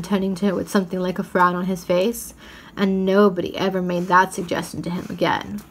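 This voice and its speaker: A woman's voice: mid-range voice, pushed and bright, low-quality recording. A woman narrating a children's story as a storyteller, reading expressively from the text.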